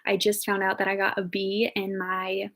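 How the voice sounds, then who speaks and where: A woman's voice: medium pitch, completely flat delivery, moderate pace, fairly bright tone. A woman in her early twenties, a nursing student, chatting casually and answering viewer questions in a talkative, upbeat way with lots of fillers. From Ohio, United States. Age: 20s.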